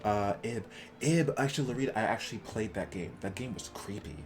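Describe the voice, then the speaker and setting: A man's voice: on the low side, expressive, fairly quick, music in the background. A man streaming games, chatting casually with his viewers in an offhand, conversational way. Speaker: game streamer. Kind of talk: casual livestream chat with viewers. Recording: clear background noise.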